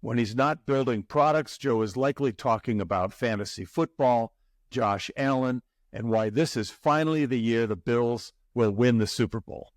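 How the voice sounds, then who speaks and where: A man's voice: medium pitch, normal speed, no room sound. Chatty male podcast host, dry sound. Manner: chatty, repeats words when starting. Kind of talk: interview.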